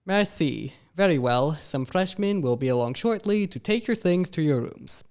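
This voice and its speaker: A man's voice: a little high, animated, moderate pace, darkish tone, clear, resonant tone. A man voicing a gracious 18th-century school founder.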